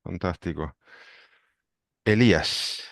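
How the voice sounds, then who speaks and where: A man hosting a book club video call, briskly greeting each member. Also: low voice, creaky, a bit bright, dry recording, studio quality. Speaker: book club director and host. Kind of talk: calling roll on a video call.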